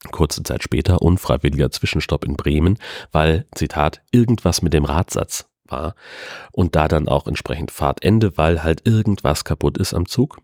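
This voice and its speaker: Very deep-voiced man, chatty podcaster. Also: darkish tone, no echo, studio quality. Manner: chatty, rambling storytelling with wry asides. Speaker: podcast host. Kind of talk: casual solo podcast monologue. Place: at home.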